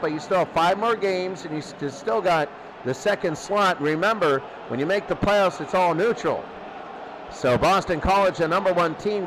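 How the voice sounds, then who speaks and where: A man giving informal, excitable play-by-play football commentary in short, repeated phrases. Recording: noisy, steady background rumble.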